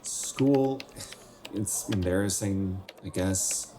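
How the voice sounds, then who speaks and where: Low-voiced, wistful young man, acted, faint noise. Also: very slow. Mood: wistful, guarded. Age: young adult. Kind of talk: naturalistic radio drama acting.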